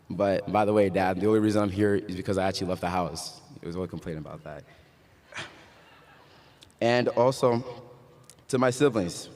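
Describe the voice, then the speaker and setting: A young man from Ghana speaking at his graduation, his voice very low and set in the long reverb of a large hall. He mixes casual jokes with earnest encouragement. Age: young adult. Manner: casual joking, then earnest and uplifting. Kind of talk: commencement speech.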